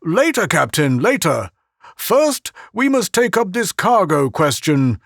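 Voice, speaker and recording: A man's voice: sits high, big pitch swings, takes it slowly, fairly bright tone, no room sound, studio quality, voice pushed up, exaggerated voice. A man voicing a jovial, pompous male official who chortles as he talks. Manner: chortling and hearty.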